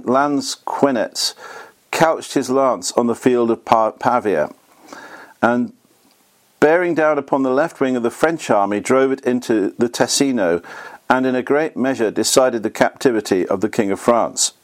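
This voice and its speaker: A man reads a history book aloud at a steady, measured pace. His voice is slightly hoarse and sits at an ordinary middle pitch. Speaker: reader.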